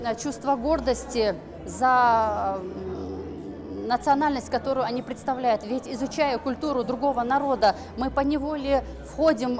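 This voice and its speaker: High-voiced woman, thoughtful and hesitant. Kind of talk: interview comment. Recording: music behind the voice.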